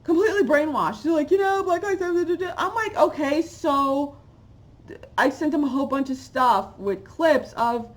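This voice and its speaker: A fired-up woman rants into a close webcam at home. Her voice sits fairly high, jumping from loud outbursts down to low, muttered asides. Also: moderate pace. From Haiti, raised in New York. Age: 40s. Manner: animated, swings from loud exclamations to low asides. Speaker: channel host. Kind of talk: opinionated vlog rant. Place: home room with bookshelves, indoors. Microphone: webcam at close range.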